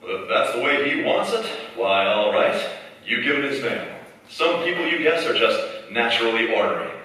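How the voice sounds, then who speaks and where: A man's voice: mid-range voice, expressive, normal speed, bright, forceful tone, recorded from a distance. A man playing a folksy, knowing narrator who talks to the hero in a 1940s style radio drama. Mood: wry, knowing. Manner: folksy, conversational. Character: folksy inner-voice narrator addressing the hero as you. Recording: echoey stage from afar, faint noise.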